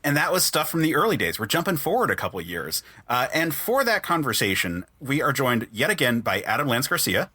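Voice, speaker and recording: A man's voice: mid-range voice, big pitch swings, a little fast, few pauses, pushed and bright, slightly rough. A man hosting a podcast in a casual, chatty style. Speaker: podcast host.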